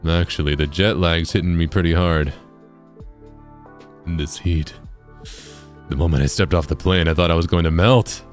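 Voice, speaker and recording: Man voicing a low, weary, wry traveller. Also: steady tone, normal speed, pauses often, soft background music, close to an everyday voice. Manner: tired, understated. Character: weary, dry-humoured traveller back from a long trip.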